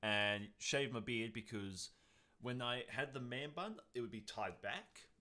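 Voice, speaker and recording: A man co-hosting a podcast, chatting casually in an animated, jokey way. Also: mid-range voice, measured pace. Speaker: podcast co-host. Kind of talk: casual chat.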